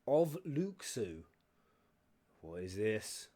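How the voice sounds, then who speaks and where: A man hosting a reaction video, excitable and swearing, shouting at the screen and at times dropping to a whisper. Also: fairly low pitch, very slow. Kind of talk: live reaction commentary. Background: game cutscene audio and music.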